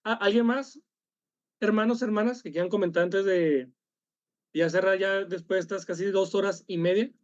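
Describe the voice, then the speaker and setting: A man leading an online study workshop, speaking haltingly, correcting himself and apologizing after coughs. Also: high voice, steady tone, no room sound, muffled recording. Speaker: workshop leader.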